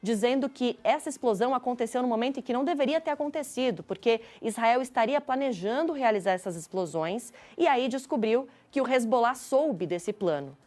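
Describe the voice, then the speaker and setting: A woman's voice: a little high, lively intonation, a little fast, few pauses, a little mellow. A woman with a Brazilian accent giving a live news report as a reporter, in an explanatory way.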